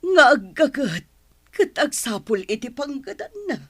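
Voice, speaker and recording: A woman's voice: high voice, big pitch swings, a little slow, a bit bright, exaggerated voice. A woman playing a worried middle-aged mother, pleading and appeasing, in an Ilocano audio drama.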